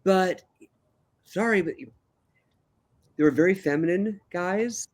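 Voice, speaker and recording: An older man, a calm and relaxed guest, telling his life story in a casual interview. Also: high voice, takes it slowly.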